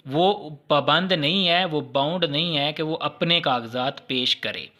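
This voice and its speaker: A man's voice: on the high side, unhurried, sharp tone. A man with a Pakistani accent lecturing on law in a didactic but conversational way, mixing in English terms and checking that listeners follow. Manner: didactic, conversational, checks understanding with tag phrases; mixes English legal terms into speech. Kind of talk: explanatory exam lecture with hypothetical examples.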